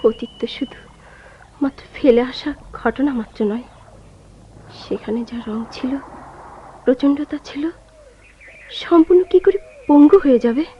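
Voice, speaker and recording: A woman's voice: high voice, animated, a little slow, long pauses. An actress playing a grieving young woman who waits for her lost lover, with poetic, theatrical delivery in a radio drama. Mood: sorrowful, searching. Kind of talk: Bengali radio drama acting. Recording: dull, lo-fi, noisy old radio recording.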